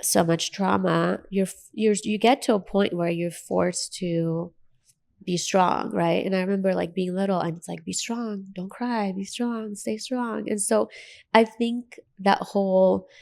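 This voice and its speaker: A woman's voice: medium pitch, steady tone, unhurried, vocal fry, darkish tone. A woman from Lebanon with an American accent and Arabic as her first language, telling her personal story as an interview guest in an earnest, emphatic way.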